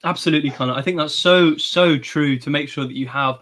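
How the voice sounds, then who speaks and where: Young man hosting with a playful, slightly high voice. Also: fairly quick, a bit bright. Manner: playful, presenter-like announcing with bursts of energy. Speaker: co-host. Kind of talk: casual livestream chat.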